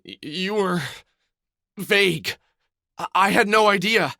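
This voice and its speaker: A man's voice: high-pitched, slow, sharp tone, dry recording, studio quality, exaggerated voice. An actor playing a desperate middle-aged man in a dramatic video game, stammering and pleading. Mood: indignant, flustered.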